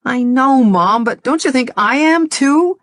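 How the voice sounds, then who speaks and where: Woman reader doing an upset young woman: guilty, defensive, pitched well above her narration. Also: lively intonation, measured pace, no room sound, clean studio sound. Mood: upset, pleading. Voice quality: strained and raised.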